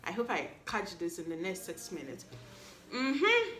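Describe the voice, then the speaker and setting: A woman's voice: fairly low pitch, huge pitch swings, measured pace, a bit bright, soft background music. A woman, hosting a solo podcast recap in a playful, chatty way that teases the listener. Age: 20s. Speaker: podcast host. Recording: echoey room.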